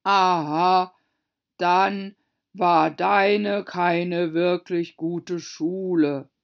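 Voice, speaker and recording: A gloomy old female turtle creature from a storybook, acted out by a woman, drags out each phrase in a hollow, sighing voice. She is mournful and quick to take offence. The recording is slightly muffled. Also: lively intonation. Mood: sighing, self-important. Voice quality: deep, hollow voice. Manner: slow, drawn out, sighing.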